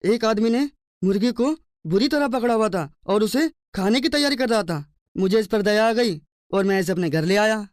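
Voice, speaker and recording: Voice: medium pitch, normal speed, no room sound, clean studio sound, close to an everyday voice. A performer voicing a poor, compassionate and stubborn hardworking husband in a cartoon. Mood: earnest, amazed. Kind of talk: cartoon dialogue.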